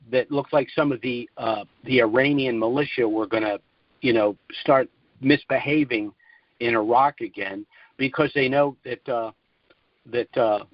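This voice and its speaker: A man speaks over a conference phone line with a dull, close and dry sound. His voice sits at an ordinary pitch. He hosts and comments in a conversational but emphatic style, arguing his points firmly. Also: no room sound. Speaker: conference call host and commentator. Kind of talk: opinionated call-in commentary. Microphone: telephone conference line.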